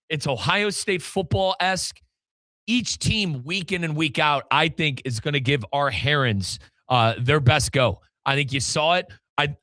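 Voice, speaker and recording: A bright, punchy American man hosts a soccer talk show. His voice sits a little high and sounds very dry. He speaks emphatically and colloquially, leaning on repetition. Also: dry recording. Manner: emphatic, repeats phrases for effect, colloquial. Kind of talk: sports talk commentary and interview.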